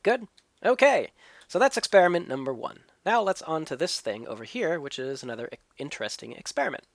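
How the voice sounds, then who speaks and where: A man's voice: fairly high pitch, big pitch swings, fairly quick, no echo. A man hosting a let's play, explaining what he builds and tests as he goes, his voice a little stuffy from a cold. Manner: explanatory, thinking aloud. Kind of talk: gameplay commentary and tutorial.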